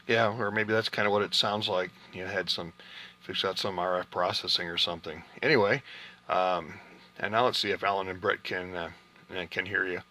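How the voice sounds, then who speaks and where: A man hosting an amateur radio session, chatting casually and explaining things in an unhurried way. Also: low voice, steady tone, noisy background. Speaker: amateur radio operator hosting an on-air QSO party. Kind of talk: casual ham radio chat.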